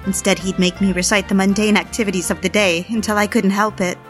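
A woman playing a yearning, submissive wife, narrating a dramatized diary in an intimate, measured way. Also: mid-range voice, level tone, natural voice. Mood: wistful longing. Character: yearning, submissive wife confessing an obsessive marriage. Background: background music.

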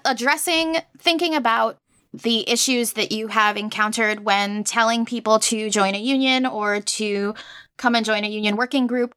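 A woman's voice: mid-range voice, sharp tone, no room sound, clean studio sound. A woman from Puerto Rico hosting an interview podcast in a casual conversational style.

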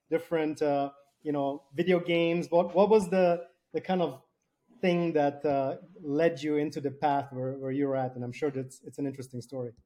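Male podcast interviewer, on the higher side with a soft, dark-edged tone, loose and halting phrasing. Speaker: podcast host. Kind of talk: conversational interviewing.

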